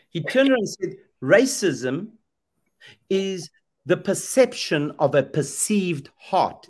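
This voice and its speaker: A middle-aged man from Zambia, speaking with preacher's emphasis on a video call, repeating phrases and asking rhetorical questions. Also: on the high side. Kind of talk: impassioned preaching talk. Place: indoor room, video call. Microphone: webcam video call.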